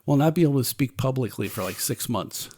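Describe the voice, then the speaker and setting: A sardonic man talks casually and opinionatedly about a book, his pitch moving in a lively way. He is dismissive and circles back over his phrases, and the sound is dry and close. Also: fairly quick, dry recording. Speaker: podcast co-host.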